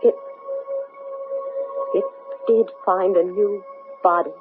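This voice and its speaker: A woman's voice: mid-range voice, fairly even intonation, takes it slowly, narrow, tinny audio, over music, lightly acted. An actress playing an anxious woman in a 1970s radio drama. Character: Margot, anxious woman freed from an alien. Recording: thin band-limited 1970s radio broadcast.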